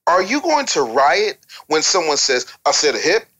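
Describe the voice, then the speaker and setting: Panelist, medium pitch, emphatic and lecturing, argues points through rhetorical questions. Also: sing-song, normal speed, fairly bright tone. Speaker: talk show panelist. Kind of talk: opinionated music-industry discussion.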